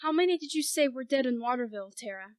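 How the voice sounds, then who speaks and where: A woman playing a brisk, wry, inquisitive newspaperwoman in an amateur radio play. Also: high-pitched, lively intonation, clean tone, no room sound, clean studio sound. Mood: wry, suspicious.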